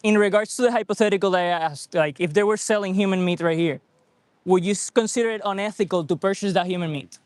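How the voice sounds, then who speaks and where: A man's voice: sits high, quick pace, clear, resonant tone, no room sound. A man interviewing strangers outdoors at a beach with a handheld mic, pressing them with persistent ethical questions. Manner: persistent, probing, argumentative. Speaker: vegan activist interviewer. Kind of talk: street debate questioning.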